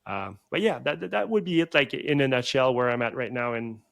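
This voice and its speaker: A man's voice: mid-range voice, expressive, fairly quick, gravelly, a bit bright. A man in his thirties, a chiropractor guest, talking in a loose, rambling way with many fillers and restarts. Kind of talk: conversational podcast interview.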